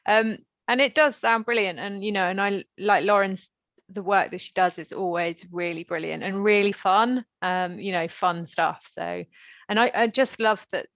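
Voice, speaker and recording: A woman podcast host chats informally and reflectively, with a noticeably creaky voice at an average female pitch. The recording is dry and close, with a slightly dull, lo-fi finish. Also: normal speed, fairly bright tone, no echo. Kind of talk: casual conversational interview.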